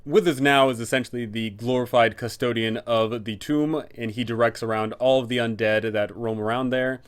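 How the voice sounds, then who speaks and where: A man's voice: medium pitch, fairly even intonation, moderate pace, smooth. A man hosting a scripted explainer, narrating as a game master giving advice.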